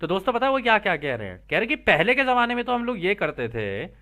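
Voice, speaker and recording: A man's voice: high-pitched, sing-song, quick pace, sharp tone. A man teaching spiritual material, reading aloud and explaining it informally, checking in with listeners through rhetorical questions.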